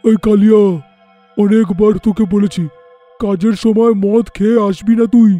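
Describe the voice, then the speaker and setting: Man acting a high-pitched, blustering village landlord. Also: little pitch movement, moderate pace, dark tone, faint music behind, natural voice. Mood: angry scolding. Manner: blustering, scolding. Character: irritable village landlord, scolding, easily frightened. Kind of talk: animated horror story voice acting.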